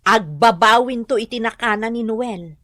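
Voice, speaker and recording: An actress with an Ilocano accent playing a disapproving village gossip in a radio drama. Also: female voice, on the high side, moderate pace, bright, forceful tone, no echo.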